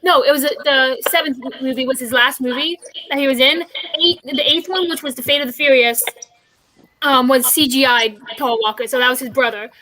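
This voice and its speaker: A woman's voice: high-pitched, steady tone, normal speed, bright, forceful tone, slight background noise, low-quality recording. A woman co-hosting a casual chat show on a video call, rambling with many fillers and restarts. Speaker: co-host.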